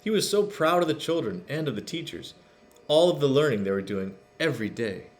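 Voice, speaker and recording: A man reading a storybook aloud to children, expressive, with chatty asides and questions to the listeners. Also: mid-range voice, fairly quick. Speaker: storybook reader.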